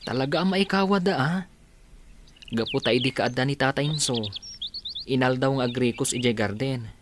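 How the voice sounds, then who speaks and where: A man's voice: medium pitch, normal speed, noisy background, lightly acted. An actor playing an earnest, sympathetic farm man in mourning in an Ilocano radio drama, with an Ilocano accent. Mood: sympathetic, sombre.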